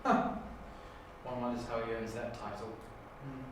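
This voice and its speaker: A man's voice: mid-range voice, steady tone, moderate pace, natural voice. A man improvising as a sneering, sceptical Time Lord councillor with a dry, sarcastic manner. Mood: sardonic. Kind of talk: improvised audition acting. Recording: distant, very echoey room, clear noise.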